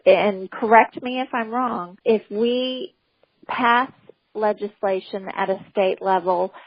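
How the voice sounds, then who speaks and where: A woman from the United States hosting a conference call, putting questions to her guest in a somewhat halting, hesitant way. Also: mid-range voice, dry recording. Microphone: telephone. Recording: dull telephone conference-call line.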